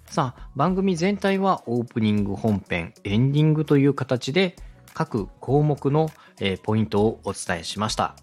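A man with a lively, swinging intonation hosts an instructional podcast. He explains politely and conversationally, addressing the listener directly, with music playing behind him. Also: medium pitch, moderate pace. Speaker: podcast host and radio director giving advice. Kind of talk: explanatory podcast teaching with acted example questions. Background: background music, faint.

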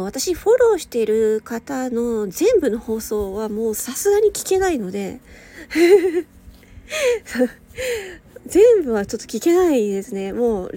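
A woman chatting casually to her listeners, hesitant and meandering, often giggly, sounding tired with slightly slurred speech. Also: on the high side. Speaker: audio channel host and hobby voice performer. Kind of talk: casual solo chat to listeners. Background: soft background music or ambience.

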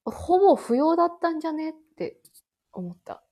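A woman in her thirties with a Kansai Japanese accent, co-hosting a casual nostalgic podcast chat and telling stories with lively animation. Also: extremely high pitch, moderate pace. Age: 30s. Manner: animated storyteller, laughs while talking. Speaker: podcast co-host.

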